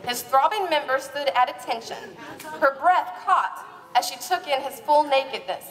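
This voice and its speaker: A woman's voice: high-pitched, normal speed, fairly bright tone. A woman narrating a romance parody as a live dramatic reading, with a theatrical, playful manner. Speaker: narrator and presenter. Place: live stage at a bar party. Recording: echoey live hall, distant mic.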